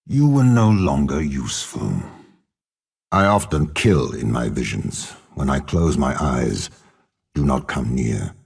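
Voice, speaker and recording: An imperious, ruthless old warlord from a dark fantasy game. The voice sits extremely low and is thick with gravel. He speaks with measured, haughty menace, swinging widely in pitch like someone who shares his body with a demon. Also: big pitch swings, exaggerated voice. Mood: calm disdain. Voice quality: very deep, gravelly, rasping. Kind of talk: dramatic video game character voice lines.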